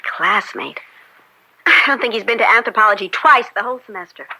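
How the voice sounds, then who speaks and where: An actress playing a young, opinionated college student, a judge's daughter, teasing and bookish, in an old-time radio drama. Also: female voice, medium pitch, a little fast, bright, forceful tone, slightly rough, exaggerated voice, low-quality recording. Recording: vintage radio drama recording.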